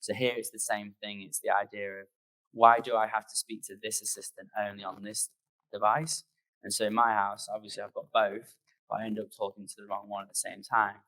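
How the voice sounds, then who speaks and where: A man's voice: on the low side, level tone, brisk, sharp tone, low-quality recording. A man speaking as a conference presenter in an informal, conversational way, explaining things loosely and taking audience questions.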